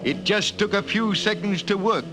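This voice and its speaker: High-voiced, dry professor acted by a man. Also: normal speed, very noisy, natural voice. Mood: defensive, confident. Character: dry, put-upon male professor, quietly defiant. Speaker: actor. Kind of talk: 1950s radio drama acting. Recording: old radio recording with loud music.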